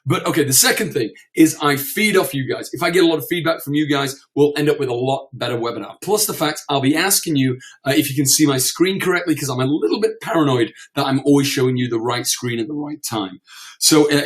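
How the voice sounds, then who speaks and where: A man presenting a live webinar, croaky from a cold, chatty with lots of fillers and repeated words. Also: on the high side.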